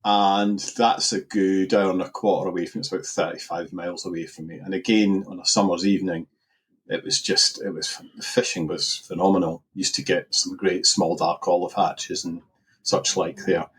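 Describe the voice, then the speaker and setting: Husky-voiced Edinburgh man, rambling. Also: deep voice, steady tone, moderate pace, low-quality recording. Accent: Edinburgh Scottish. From Scotland. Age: middle-aged. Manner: rambling, repeats words while searching for them. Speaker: guest angler. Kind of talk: relaxed podcast interview.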